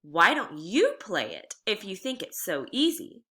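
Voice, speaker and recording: A stubborn young girl played by a woman reading aloud from a memoir. She protests and teases in a bright voice, with pitch that jumps around expressively. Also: very animated, unhurried. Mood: exasperated. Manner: protesting, teasing.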